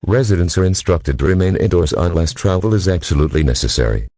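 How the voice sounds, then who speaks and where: A man's voice: low-pitched, animated, quick pace, dark tone, no room sound. A man delivering a scripted emergency broadcast announcement in a formal, impersonal manner.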